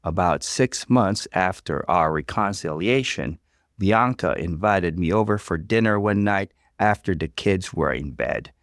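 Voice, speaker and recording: A man reading a story aloud as scripted, expressive narration. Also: low voice, a little slow, dry recording, studio quality.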